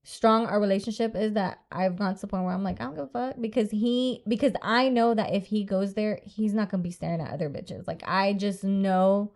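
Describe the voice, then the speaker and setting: A woman's voice: medium pitch, steady tone, brisk, hardly any pauses, darkish tone. Young woman chatting bluntly. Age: 20s. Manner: candid, swears freely, mixes in Spanish phrases. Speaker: podcast co-host. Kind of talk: casual chat.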